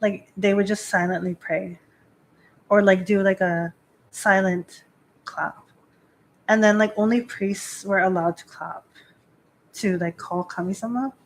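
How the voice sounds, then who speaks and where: A woman's voice: medium pitch, fairly even intonation, a little slow, long pauses, slight background noise, poor audio. A woman priestess hosting a casual live stream, rambling with many fillers and frequent small laughs. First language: not Japanese.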